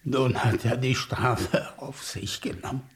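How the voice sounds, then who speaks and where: An actor playing a broken, grieving old father with a creaky voice in a German radio drama. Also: mid-range voice, unhurried, close to an everyday voice.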